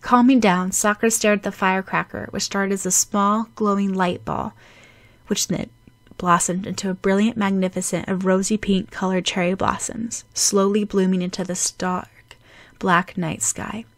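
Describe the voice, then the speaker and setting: A woman narrating fan fiction as an audiobook, with a dramatic, animated delivery. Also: mid-range voice, moderate pace, no room sound.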